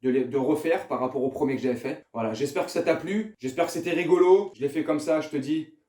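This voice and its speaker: A man's voice: a little high, quick pace, mellow, roomy sound. A young man hosting a channel, chatting casually to his viewers and hesitating often. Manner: chatty, hesitant with fillers, addresses viewer directly. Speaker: YouTube host. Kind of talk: casual chat to camera.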